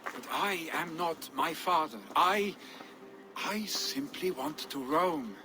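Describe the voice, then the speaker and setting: A man's voice: a little high, slow pace. An actor playing a restless, earnest young Viking man in a video game. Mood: wistful. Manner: earnest, boyish, emotional. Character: restless young male Viking jarl's son.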